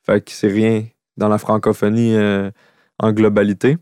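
Homme québécois, voix claire et nette. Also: male voice, on the low side, moderate pace, clear, resonant tone, dry recording, clean studio sound. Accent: Québécois French. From Quebec. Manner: relaxed, slangy, mixes in English words. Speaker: YouTuber, podcast co-host. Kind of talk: casual chat.

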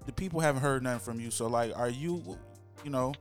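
A man's voice: mid-range voice, brisk. A man hosting a casual podcast chat, asking probing questions in slangy, conversational speech. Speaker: podcast host. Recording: music under the talk.

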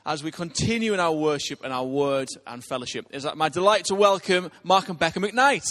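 A man's voice: a little high, lively intonation, a bit bright. A man leading a church service, upbeat as he greets the congregation and welcomes the guest speakers. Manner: upbeat, quick to hand over.

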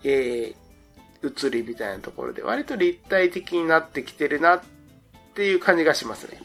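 Male podcast host, creaky voice, casual analytical marketing chat, slangy, music behind. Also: a little high, lively intonation, normal speed, a bit bright.

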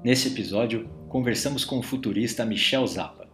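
A man hosting a podcast, giving a measured scripted welcome and asking a question. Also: mid-range voice, fairly bright tone, soft background music. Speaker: podcast host. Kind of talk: podcast intro and interview question.

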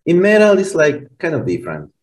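A man in his forties from Colombia with an Argentine Spanish accent, speaking English as a second language in a relaxed, rambling interview chat full of fillers and restarts. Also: fairly high pitch, a little fast. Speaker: band member guest.

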